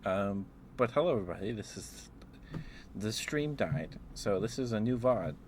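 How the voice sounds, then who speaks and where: A man hosting a casual ASMR livestream, chatting to viewers in a gentle, apologetic, rambling way. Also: lowish voice, expressive, normal speed. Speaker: livestream host. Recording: clearly noisy background.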